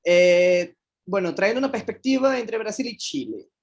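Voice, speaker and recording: A man's voice: high-pitched, expressive, moderate pace, lo-fi sound. A Brazilian man, a native Portuguese speaker, giving an online lecture on environmental engineering in careful, hesitant second-language Spanish.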